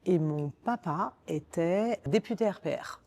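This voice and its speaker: A woman in her forties talks about herself in an interview with a Parisian accent. Her voice sits fairly low and has a relaxed, mellow tone. She speaks informally, circling back to reword her thoughts. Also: measured pace, creaky. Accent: Parisian French. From Paris, France. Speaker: podcast guest, communications director. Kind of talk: conversational interview, recounting own career.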